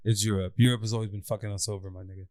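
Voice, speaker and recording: A young man co-hosting a podcast, chatting casually and telling lively stories, often jumping into high mocking voices. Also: racing, no echo, studio quality. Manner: animated storyteller, swings into high mock voices. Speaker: podcast co-host. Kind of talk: casual chat.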